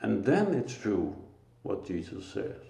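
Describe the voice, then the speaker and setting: A man with a Danish accent speaks slowly and earnestly about faith, pausing often between phrases. His voice is very low, gravelly and mellow. The recording is echoey, as in a bare room. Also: sing-song. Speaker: lay preacher. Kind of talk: informal spiritual talk.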